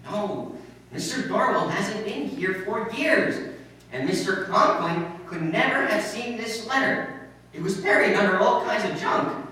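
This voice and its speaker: A man playing a scheming, excitable teenage schoolboy in an old-time radio comedy, with exclamatory boyish delivery. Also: high voice, a little slow, exaggerated voice. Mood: eager scheming. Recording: roomy echo, distant mic, faint noise.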